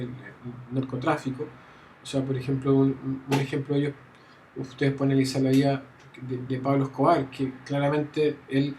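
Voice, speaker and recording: A man's voice: mid-range voice, slight background noise, rough recording. A man giving a university lecture, often restarting phrases and checking in with his students. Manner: frequent fillers and restarts, checks understanding with "¿ya?". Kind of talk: classroom lecture. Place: classroom.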